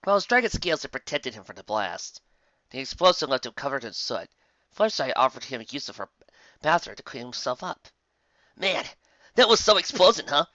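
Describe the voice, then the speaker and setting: Animated male storyteller, slightly muffled. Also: mid-range voice, brisk, no echo. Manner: dramatic, expressive reading. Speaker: fan fiction narrator. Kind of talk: scripted story narration. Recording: muffled, dull, dry home recording.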